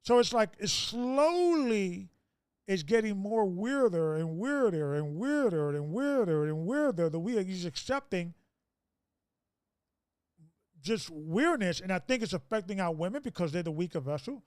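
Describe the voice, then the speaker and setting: A man's voice: high-pitched, sing-song, a little slow, a little mellow, clear, resonant tone, no room sound, studio quality. A man in his fifties hosting a casual group discussion, preachy and rambling, with many restarts. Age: 50s.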